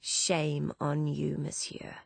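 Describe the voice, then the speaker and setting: A woman's voice: low voice, completely flat delivery, slow pace, creaky, no echo, full character voice. A woman voicing a stern, protective elder sister in an audiobook, with a grim, commanding manner. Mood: indignant, firm.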